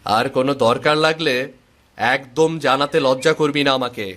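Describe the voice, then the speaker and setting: A man's voice: mid-range voice, moderate pace, a bit bright, natural voice. Man playing a kindly older village fisherman uncle. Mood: kindly, insistent. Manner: avuncular, insistent, advising. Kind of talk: cartoon voice acting.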